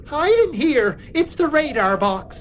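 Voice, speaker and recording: Timid Martian simpleton, slow hesitant delivery, very animated pitch, naive, muffled noisy audio comedy. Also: female voice. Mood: panicky. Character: timid, dim, sleepy Martian oaf Droppo, easily flustered. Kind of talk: comic audio drama.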